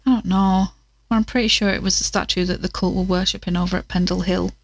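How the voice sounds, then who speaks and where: A woman's voice: fairly low pitch, little pitch movement, quick pace, vocal fry, breathy edge, dry recording. A woman playing an anxious, earnest character caught up in a cult mystery, with a hesitant delivery, in an audio drama. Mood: uneasy.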